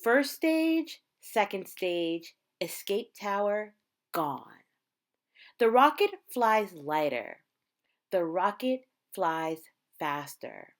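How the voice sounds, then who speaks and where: Slow, expressive woman reading a storybook aloud. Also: on the low side. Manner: measured, dramatic phrasing with emphasized words. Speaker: teacher reading a picture book aloud to students.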